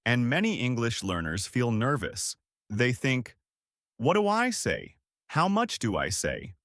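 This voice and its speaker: Male podcast teacher, mid-pitched, clear and upbeat. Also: huge pitch swings, unhurried, a bit bright, dry recording, studio quality. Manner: clear, upbeat explaining with example phrases. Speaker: podcast co-host and English teacher. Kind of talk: scripted English lesson dialogue.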